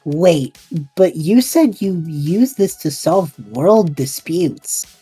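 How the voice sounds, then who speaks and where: A woman voices a supportive young man out of his depth in an audio drama. The voice is low, mellow and a little husky. He talks casually and hesitantly, with wry humor. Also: lively intonation, slow, faint music behind, exaggerated voice. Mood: confused. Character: bewildered, supportive young man lost in another world.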